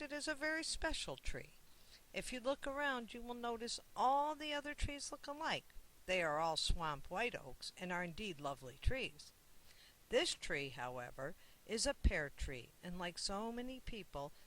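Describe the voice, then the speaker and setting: Kindly older man, as a reader plays him, bright voice. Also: medium pitch, low-quality recording. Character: kind older man, gentle storyteller of a tree.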